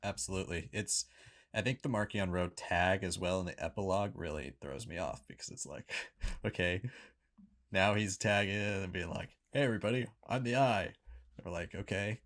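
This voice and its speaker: A man with a low-pitched voice talks as co-host of a review show. His delivery is casual and conversational, laying out his opinions as he goes. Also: normal speed, fairly bright tone.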